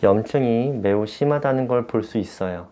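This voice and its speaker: A woman doctor examining and explaining to a patient in a calm, reassuring, explanatory manner. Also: rumbling low, moderate pace. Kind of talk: medical consultation.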